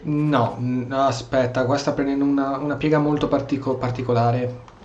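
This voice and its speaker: A man's voice: mid-range voice, little pitch movement, a little fast, darkish tone, roomy sound, dull audio, a little hiss or hum. A man with an Italian accent giving casual gameplay commentary, thinking aloud and often repeating himself. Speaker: gamer commentator. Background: game music.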